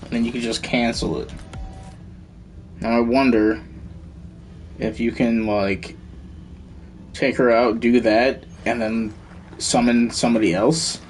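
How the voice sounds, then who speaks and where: A man's voice: medium pitch, fairly even intonation, slow pace, pauses often. A man giving casual live gameplay commentary, thinking aloud in an informal way. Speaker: game streamer. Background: faint game music.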